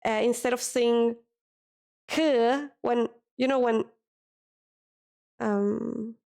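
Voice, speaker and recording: Woman, slow hesitant talk, slightly high voice, stops to correct herself. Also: fairly even intonation, gravelly, dark tone. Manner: hesitant, searching for words, self-correcting. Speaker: streamer. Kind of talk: casual chat with chat readers.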